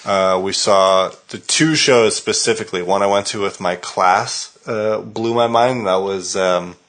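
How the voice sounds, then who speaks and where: A man's voice: lowish voice, a little slow, fairly bright tone. An American man from California hosting a podcast in casual conversation, talking with many hesitations and restarts. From California, United States. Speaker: podcast host.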